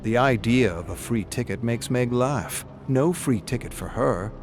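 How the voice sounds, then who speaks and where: A man reads a scripted story for a video game with dramatic, expressive delivery. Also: medium pitch, unhurried. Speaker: narrator. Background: background music.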